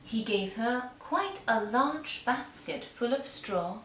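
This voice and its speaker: Slow, high-voiced woman storyteller. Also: animated. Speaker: storyteller and puppeteer. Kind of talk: scripted fairy-tale narration for young children. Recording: echoey room, distant mic, faint noise.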